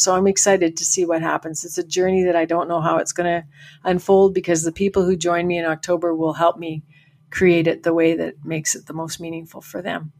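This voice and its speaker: A woman's voice: lowish voice, a little mellow. An older woman coach from Canada, talking upbeat and encouraging as a podcast guest over a call. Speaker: farm family coach. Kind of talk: podcast interview. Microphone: remote call.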